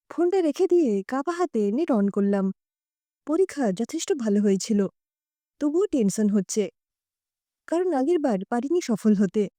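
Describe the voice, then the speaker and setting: Female narrator for emotional audio drama: high pitch, very soft mellow tone, dry close sound. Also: no echo. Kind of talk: dramatic first-person story narration.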